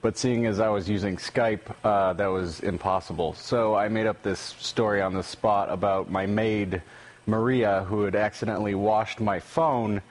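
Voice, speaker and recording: Dry, lowish-voiced man. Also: steady tone, normal speed. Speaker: interview guest, editor and prank caller. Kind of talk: interview recounting.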